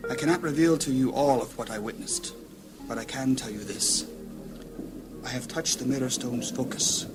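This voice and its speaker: An actor playing a grave, commanding archmage in a high fantasy audio drama, speaking formally and solemnly. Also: male voice, lightly acted. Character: grave male archmage leading a council of wizards. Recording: loud orchestral music behind.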